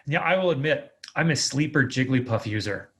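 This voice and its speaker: A man's voice: medium pitch, expressive, brisk, a bit bright. A man hosting a casual podcast interview in an enthusiastic way.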